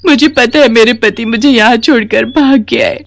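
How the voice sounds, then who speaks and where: A woman plays a wronged young wife in a melodramatic bedtime tale. Her voice sits higher and sounds pleading and emotional, like a gentle soul pushed too far. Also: moderate pace, natural voice. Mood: despairing, tearful. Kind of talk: melodramatic moral-tale storytelling.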